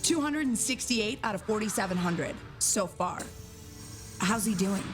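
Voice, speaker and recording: An actress playing a loyal, blunt female captain in a sci-fi motion comic, brisk and no-nonsense. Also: medium pitch, animated, natural voice. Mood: matter-of-fact, reassuring. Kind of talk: animated motion-comic drama acting. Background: music.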